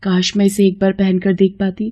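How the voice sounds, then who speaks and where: A woman voicing an earnest young woman in an animated moral story, timid and eager, sometimes stumbling over words. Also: mid-range voice, fairly quick, dull audio, natural voice. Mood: wistful, admiring. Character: earnest, pleading young woman dreaming of modelling.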